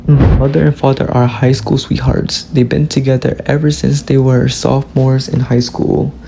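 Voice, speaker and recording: Gravelly young man presenting. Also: medium pitch, normal speed, a little hiss or hum. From Louisiana, US. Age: early 20s. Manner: steady reading of a prepared script. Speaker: student presenter. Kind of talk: class presentation.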